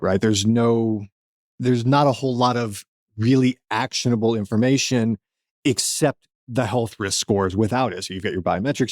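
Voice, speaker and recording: A man's voice: lowish voice, dry recording. A man co-hosting a podcast with dry humor, making his points through emphatic repetition. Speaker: podcast co-host. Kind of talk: casual podcast chat.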